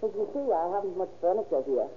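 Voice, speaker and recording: A woman's voice: fairly low pitch, lively intonation, quick pace, lightly acted. An actress as a sly woman on tinny old radio. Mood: politely evasive. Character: sly woman covering for her criminal relative with polite innocence. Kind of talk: 1930s radio drama acting. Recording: noisy, tinny old-time radio recording.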